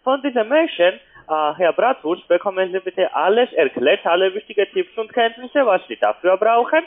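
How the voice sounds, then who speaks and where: A man with a foreign accent in German giving a hesitant, polite sales pitch as a call centre agent, often ending on little questions. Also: big pitch swings, moderate pace. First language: not German. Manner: hesitant, polite, repeatedly tags sentences with questions. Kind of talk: halting phone sales pitch. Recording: muffled, narrow telephone line.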